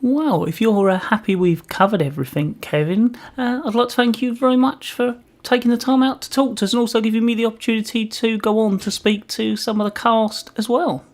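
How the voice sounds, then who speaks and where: A woman's voice: fairly high pitch, fairly even intonation, a little fast, hardly any pauses. A woman hosting a community radio interview, putting questions in a conversational way.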